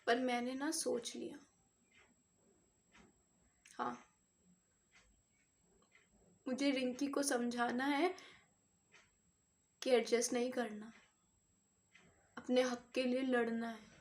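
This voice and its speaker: An actress performs a weary, wronged woman in a dramatic monologue. Her voice is slightly high and very unhurried. She halts between phrases and repeats words, determined to stand her ground. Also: flat delivery, darkish tone. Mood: weary resolve.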